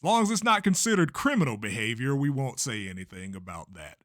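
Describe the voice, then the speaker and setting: Showy race promoter for a manga dub, male actor: forceful, declamatory, unshakeable, close dry sound. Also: medium pitch, sing-song, no echo. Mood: measured, assured. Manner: grandiose, declamatory, deliberate. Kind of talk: amateur anime-style manga dub.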